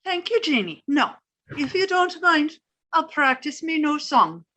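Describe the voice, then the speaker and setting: A woman's voice: sits high, very animated, measured pace, pushed and bright, dull audio, full character voice. A woman playing a fussy older Irish landlady with a proud, indignant manner in a 1950s-style radio comedy. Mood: chirpy. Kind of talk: 1950s radio sitcom acting.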